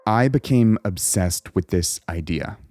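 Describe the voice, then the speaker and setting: A man narrating a documentary voice-over in a measured, reflective first-person style. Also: deep voice, darkish tone, faint music behind. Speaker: host and narrator.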